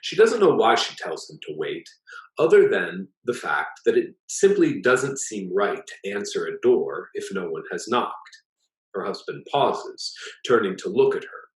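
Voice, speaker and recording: A man reading his own short story aloud as an author, in a measured, expressive narrating manner. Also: lowish voice, reverberant, low-quality recording.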